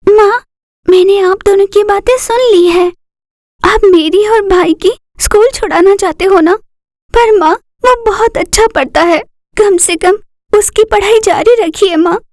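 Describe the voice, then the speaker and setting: A woman voicing a poor, selfless young girl with an earnest, pleading manner in an animated moral story. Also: extremely high pitch, measured pace, no room sound, studio quality, pitched above the performer's own voice.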